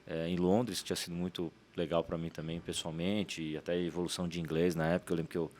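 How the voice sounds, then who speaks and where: A Paulistano man in his forties talks quickly and easily as an interview guest. His voice sits on the low side, and he keeps the talk moving with little tag questions. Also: flat delivery, darkish tone, slight background noise. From São Paulo, Brazil. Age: 40s. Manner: quick, chatty, leans on "né" tags. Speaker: guest, startup CEO. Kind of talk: casual interview storytelling.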